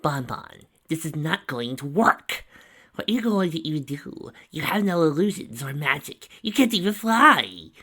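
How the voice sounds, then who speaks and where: A woman's voice: low-pitched, sing-song, moderate pace, fairly bright tone, voice pushed up, full character voice. A woman voicing an impatient, blunt young woman arguing her point. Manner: impatient, argumentative.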